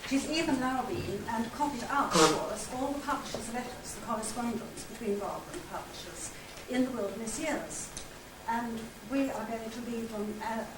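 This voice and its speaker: An older woman giving a scripted literary presentation, reading out narration, letters and diary extracts. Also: on the high side. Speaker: presenter and reader at a literary society conference. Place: conference hall. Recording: echoey room, distant mic, loud noise.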